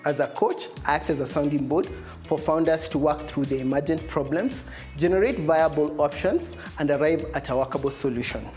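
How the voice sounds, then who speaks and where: Female coach, deep register, prepared testimonial over faint music. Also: fairly quick, a little mellow. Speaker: business coach. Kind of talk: scripted testimonial narration.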